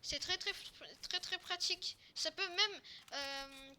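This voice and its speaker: A woman playing an eager, bossy young survivor in an improvised amateur zombie drama, with hesitant, halting delivery. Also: very high-pitched, sharp tone, a little hiss or hum, heavily acted. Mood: eager, insistent. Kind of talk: improvised amateur role-play acting.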